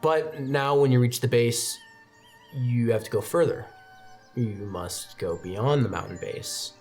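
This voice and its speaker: Tabletop game master, mid-range voice, halting descriptive improvised narration, faint music underneath. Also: mid-range voice, a little mellow. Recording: music behind the voice. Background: background music.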